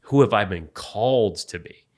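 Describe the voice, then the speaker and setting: Earnest low-voiced man in his forties. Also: animated, a little mellow. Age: 40s. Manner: earnest, halting, many restarts. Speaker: podcast co-host. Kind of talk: reflective faith talk.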